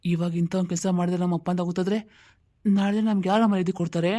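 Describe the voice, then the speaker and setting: A woman's voice: fairly low pitch, fairly even intonation, quick pace, warm tone, close to an everyday voice. A woman voicing a stern, scornful elder brother who speaks curtly and stubbornly in a cartoon family drama. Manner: curt, dismissive, confrontational. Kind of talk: dramatic cartoon story dialogue.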